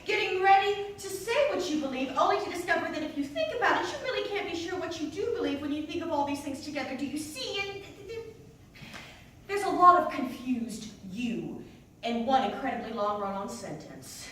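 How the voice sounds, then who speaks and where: Woman performing as a high-voiced rambling mother, echoey room. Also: animated, fairly bright tone. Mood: questioning. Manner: rambling, halting, digressive, self-interrupting. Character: rambling, doubting, wry churchgoing mother. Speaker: actor performing a monologue. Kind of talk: theatrical comic monologue in a staged reading. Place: indoor staged reading in a reverberant room. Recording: echoey room, distant mic, faint noise.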